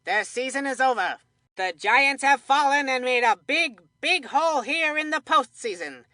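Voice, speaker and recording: A woman's voice: sits high, expressive, moderate pace, pushed and bright, dry recording, clean studio sound. A woman voicing an upbeat cartoon commentator in a kids' baseball video game, with punchy, scripted sports-announcer delivery. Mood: dramatic announcing.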